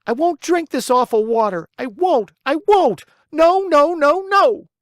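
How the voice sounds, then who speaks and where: A storyteller voicing a whiny, stubborn wooden marionette boy in a high, strained, whining voice. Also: lively intonation, measured pace, no echo, studio quality, lightly acted. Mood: tantrum. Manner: bargaining, then wailing and pleading.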